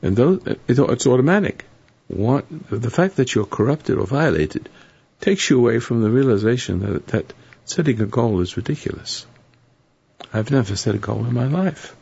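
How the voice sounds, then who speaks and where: A hoarse man hosting a live call-in radio show, rambling and preachy with a sardonic edge. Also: medium pitch, animated, normal speed, a little mellow. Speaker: radio talk show host. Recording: dull lo-fi radio broadcast.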